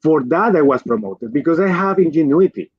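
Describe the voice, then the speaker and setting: A man's voice: a little high, expressive, brisk, slightly rough, no room sound. A middle-aged man from Colombia, a native Spanish speaker with a Colombian accent, giving conversational career advice in an earnest, emphatic way as a guest. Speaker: guest, physician and executive. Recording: dull, lo-fi livestream audio.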